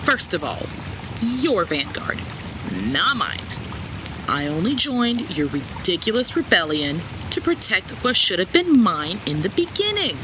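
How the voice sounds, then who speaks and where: Watery rushing noise sits behind a haughty shark-like lord of the sea, acted by a man. He is irritable and disdainful, taking his words slowly in grand fantasy audio drama fashion. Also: sits high, big pitch swings. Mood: contemptuous. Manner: disdainful, grudging, deliberate.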